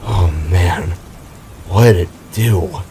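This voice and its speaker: A man's voice: low voice, takes it slowly, mellow, slight background noise, voice pushed down. A man voicing a brash, snippy younger brother, a glowing energy warrior in an audio drama, with a cocky, casual manner. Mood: uneasy.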